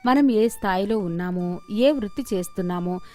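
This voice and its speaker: A woman's voice: mid-range voice, animated, moderate pace, warm tone, faint music behind. A woman storyteller reading a scripted moral tale as narration.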